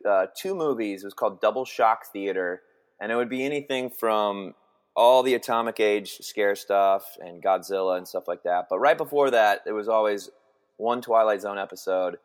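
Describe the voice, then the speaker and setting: Male guest, 40s, from Texas, lowish voice, stop-start delivery. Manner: halting, many restarts and fillers. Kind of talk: casual interview chat.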